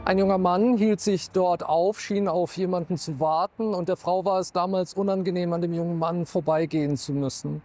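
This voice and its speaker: A man's voice: sits high, fairly even intonation, moderate pace, hardly any pauses, warm tone, faint music behind. A man in his thirties or forties, a true-crime TV expert, retelling a case factually on camera outdoors into a lapel mic. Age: 30s or 40s. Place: outdoors in town.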